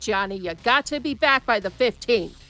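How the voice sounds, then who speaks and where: A woman's voice: fairly high pitch, expressive, moderate pace, bright, forceful tone, faint music behind. A woman voicing an insistent, businesslike personal secretary in a comic dub. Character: businesslike, nagging personal secretary to a movie star.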